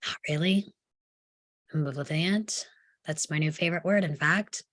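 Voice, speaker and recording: A woman's voice: sits low, moderate pace, a bit bright. A woman playing a defiant, sardonic young psychiatric patient in a screenplay table read, with a guarded, dry, challenging delivery.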